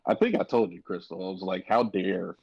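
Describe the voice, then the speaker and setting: A man chatting casually with a hesitant, halting delivery, on a dull lo-fi mic. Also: low voice, vocal fry, darkish tone, slightly rough, no room sound. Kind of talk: casual chat.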